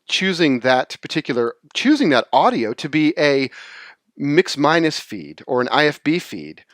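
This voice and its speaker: Man giving an informal, closely recorded software tutorial. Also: mid-range voice, no echo, clean studio sound. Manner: explanatory, halting, rephrases as he goes. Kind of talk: informal screen-share tutorial, unscripted explanation.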